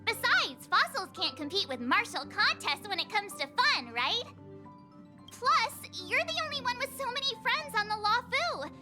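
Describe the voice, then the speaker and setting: A bubbly teenage girl adventurer from a video game. Her girlish voice is extremely high and swings about in lively, coaxing lines over music. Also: moderate pace, full character voice. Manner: perky, pleading, girlish. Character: bubbly, eager teenage girl space traveler.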